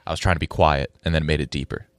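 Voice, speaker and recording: A man's voice: rumbling low, steady tone, quick pace, clear, resonant tone, no echo, clean studio sound. A man co-hosting a casual podcast, blunt and contrarian. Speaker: podcast co-host. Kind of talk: casual group chat.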